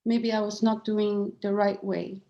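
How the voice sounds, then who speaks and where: A woman's voice: mid-range voice, flat delivery, a little slow, gravelly, lo-fi sound. A middle-aged woman with a Cantonese accent, speaking candidly as an interview guest and often restarting her words. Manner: earnest and candid, frequent restarts and repeated words. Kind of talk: interview conversation.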